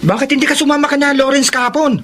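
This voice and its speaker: A man's voice: very high-pitched, steady tone, rapid-fire, sharp tone, a little hiss or hum. An actor with a Filipino accent playing a cheerful, chatty young male friend in a radio drama. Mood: cheerful, curious. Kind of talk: Tagalog radio drama acting.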